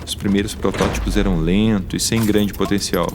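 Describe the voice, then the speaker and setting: An actor in an audio drama playing a male scientist with a mechanical arm, recounting his wartime past in formal, deliberate, wordy speech. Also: fairly low pitch, steady tone, noisy background, close to an everyday voice. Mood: reflective, explanatory.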